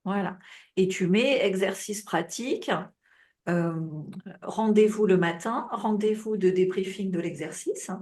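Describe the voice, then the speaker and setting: A woman coaching on a group call in a relaxed, chatty way, heavy with fillers and check-ins, her voice a bit worn with coughing. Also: mid-range voice, a little slow. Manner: casual, advising, many fillers and tu vois. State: coughing, voice tiring. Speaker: business coach.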